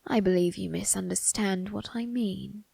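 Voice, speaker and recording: A woman voicing an ancient male undertaker in an ASMR roleplay, sly and teasing, with a breathy, husky, creaking voice and formal phrasing. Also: medium pitch, steady tone, mellow. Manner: slow, formal, teasing delivery with drawn-out tag questions.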